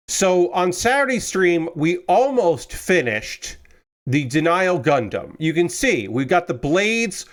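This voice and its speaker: Chatty man with a slightly raised voice. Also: on the high side. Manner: rambling, self-correcting, chatty with viewers. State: slightly congested, recovering from a cold. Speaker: streamer building model kits. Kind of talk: casual livestream chat. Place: his room with window open.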